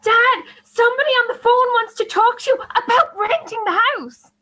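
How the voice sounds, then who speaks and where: A woman in an amateur radio drama playing an excitable, eager daughter of the family. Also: very high-pitched, moderate pace, a little hiss or hum. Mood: urgent.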